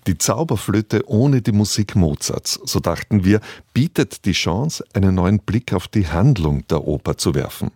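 A man presenting a radio programme in measured, formal scripted narration. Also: on the low side, lively intonation, mellow, slightly rough, dry recording, clean studio sound.

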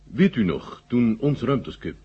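A male actor playing a genial, sly Soviet marshal in a Dutch radio drama, with a husky voice and deliberate, halting phrasing. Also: mid-range voice, sing-song, exaggerated voice. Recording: muffled old radio recording.

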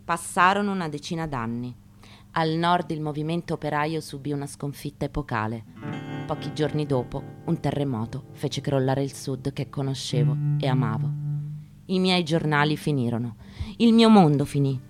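A woman gives a dramatic literary reading in a measured, declamatory manner, with deliberate pauses. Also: low voice. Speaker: actress giving a stage reading. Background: acoustic guitar.

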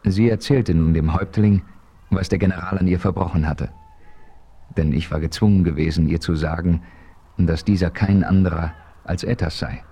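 An actor narrating a radio play as a steady frontier hero, telling his story in a measured way. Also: low-pitched, gravelly, breathy edge, exaggerated voice.

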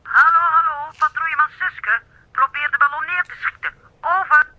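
Actress as high-voiced dispatcher on dull radio. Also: female voice, moderate pace, noisy background, lightly acted. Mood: urgent. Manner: clipped official call-outs. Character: brisk headquarters radio dispatcher. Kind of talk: children's audio drama acting.

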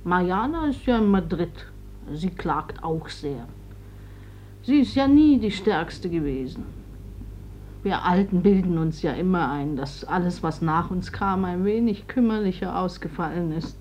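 An actress playing an older, grieving mother in a radio drama, plain-spoken, measured and resigned. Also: lowish voice, expressive, darkish tone, a little hiss or hum. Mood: sorrowful. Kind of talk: German stage-like radio drama acting. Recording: dull old radio drama recording.

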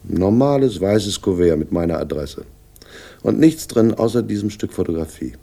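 An actor in a radio crime play playing a shrewd, sardonic police inspector, speaking with dry, deliberate condescension and a husky voice. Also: male voice, deep voice, expressive, dark tone, heavily acted. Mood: dryly superior. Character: sardonic, shrewd male police inspector, world-weary but superior. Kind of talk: 1960s German radio play acting, dialogue.

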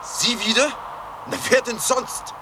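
A man's voice: very high-pitched, takes it slowly, background noise. An actor playing an intense, theatrical man with a melancholic streak in a German radio drama. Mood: exasperated, angry.

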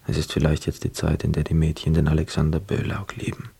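An actor playing a poor, wistful young man who narrates a literary radio drama in a measured, reflective manner. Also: rumbling low, completely flat delivery, creaky, husky, in a whisper, heavily acted. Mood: wistful, jealous. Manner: measured, reflective, hushed inner asides.

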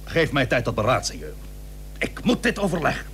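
An actor playing a plain-spoken, reluctant but dutiful seventeenth-century sea captain in a radio play, with blunt, weighty, archaic Dutch diction. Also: male voice, medium pitch, huge pitch swings, fairly quick, a bit bright. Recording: old radio recording with hum and hiss.

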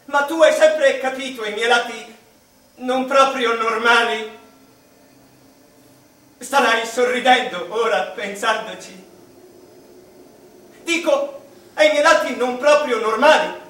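A man's voice: extremely high pitch, a bit bright. A man playing an eccentric, childlike, sad mathematician in a theatrical radio monologue, confiding and rambling with emotion. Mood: earnest. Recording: echoey, distant, noisy stage recording.

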